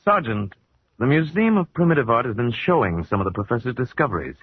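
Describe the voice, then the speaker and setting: A man playing a courteous, wise American crime-fighting hero in 1940s radio style, speaking in a measured, formal way. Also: mid-range voice, sing-song, slight background noise, close to an everyday voice. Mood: serious, composed. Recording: dull 1940s radio recording.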